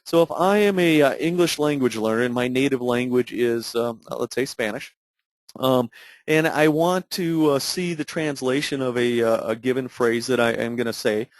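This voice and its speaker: A man narrating an unscripted software tutorial in a halting, explanatory way with many fillers. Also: medium pitch, no echo. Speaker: product demonstrator.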